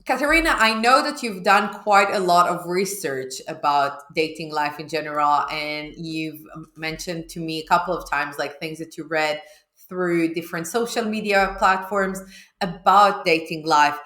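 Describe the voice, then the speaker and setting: A woman's voice: mid-range voice, a bit bright. A woman talking conversationally as a podcast host interviewing a guest. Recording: echoey room sound.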